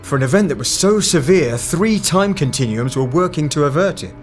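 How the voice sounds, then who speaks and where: A man's voice: fairly high pitch, animated, brisk, faint music behind, lightly acted. A man playing a serious, analytical time agent in an audio drama, terse, authoritative and matter-of-fact. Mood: grave.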